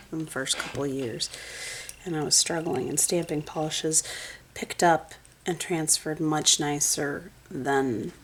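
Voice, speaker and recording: A woman's voice: deep voice, a bit bright, a little hiss or hum. A woman in her thirties, congested, chatting casually as she swatches and compares nail polish, often dropping to a whisper while she works. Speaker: nail art vlogger.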